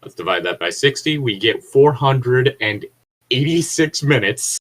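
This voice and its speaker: A man co-hosting a podcast, reading aloud with casual, joking commentary in a rambling, nitpicking way as he thinks out loud. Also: medium pitch, animated, measured pace. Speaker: podcast co-host.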